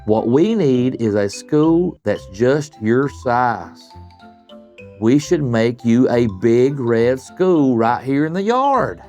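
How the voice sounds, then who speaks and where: A man voicing a cheerful young schoolgirl in a children's storybook, gentle and enthusiastic. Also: medium pitch, animated, takes it slowly, soft background music. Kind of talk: children's storybook read-aloud.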